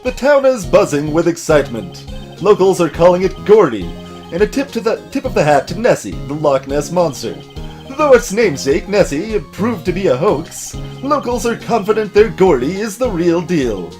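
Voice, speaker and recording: Man putting on an animated TV news anchor. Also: sits high, normal speed, soft background music. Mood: announcing. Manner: declamatory newsreader delivery. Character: male TV news anchor, brisk and formal.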